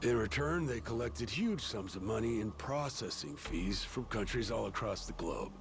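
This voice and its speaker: A man playing a gruff, suspicious middle-aged barkeep in a video game, with a sardonic, grudging manner. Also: lowish voice, animated, moderate pace. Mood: wry, explanatory. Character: gruff, suspicious barkeep father, former treasure hunter. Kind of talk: English video game voice acting.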